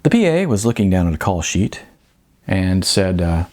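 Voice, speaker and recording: Male audiobook narrator, lowish pitch, lively intonation, creaky edge, conversational, author reading his own fiction. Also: normal speed.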